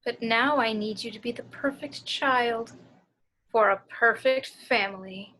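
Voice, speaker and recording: A woman voicing a possessed, motherly woman with slow, deliberate, soothing menace in an amateur table read. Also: a little high, sharp tone, slight background noise, poor audio. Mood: ominous, soothing. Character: sinister motherly woman possessed by a vengeful ghost.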